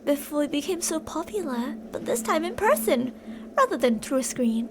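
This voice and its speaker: A woman's voice: sits high, sing-song, moderate pace, noisy background. A woman playing an obsessive, possessive female fan turned kidnapper in an intimate, dramatic ASMR roleplay monologue. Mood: defensive, insistent. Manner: intimate, swinging between insistent pleading and soft whispery menace.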